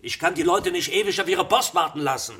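Demanding male delivery boss, radio drama, businesslike and short, lighter-pitched voice. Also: fairly quick, bright, forceful tone. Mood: impatient. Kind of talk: German radio drama dialogue.

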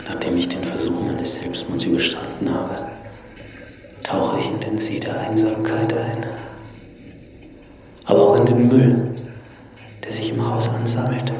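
A man plays a lonely last survivor in a radio drama, bleak and introspective. His delivery is very flat, in short phrases, swimming in heavy echo with noise around it. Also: medium pitch, lightly acted. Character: solitary, bleakly reflective man, last human left alive. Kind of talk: literary radio drama monologue. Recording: echoey reverberant hall with clear noise.

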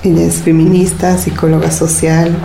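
A woman's voice: sits low, level tone, moderate pace, gravelly, noisy background. A woman hosting and narrating a radio documentary in a scripted style. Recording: music behind the voice. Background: music.

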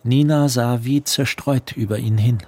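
A man narrating a literary radio play in a measured, precise storytelling manner. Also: medium pitch, steady tone, close to an everyday voice. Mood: composed. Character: composed literary male narrator. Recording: music under the narration.

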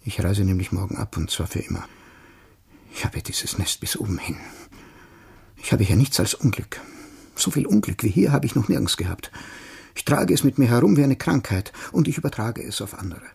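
An actor playing an anxious, weary ex-soldier burdened by guilt, with a husky voice, in a radio drama. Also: male voice, on the low side, expressive, normal speed, a little mellow, exaggerated voice. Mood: despairing.

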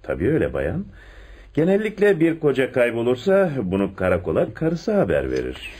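An actor in a radio drama playing a courteous, patient police inspector who questions politely and persistently. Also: male voice, mid-range voice, huge pitch swings, brisk, darkish tone, husky. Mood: insistent. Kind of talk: radio theatre acting.

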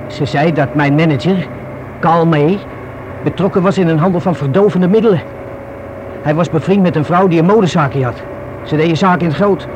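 A man's voice: a little high, normal speed, close to an everyday voice. An actor playing a wary, rueful young man confiding in the police in a radio drama, colloquial and hesitant. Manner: hesitant, colloquial, self-reproaching. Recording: noisy old radio play recording.

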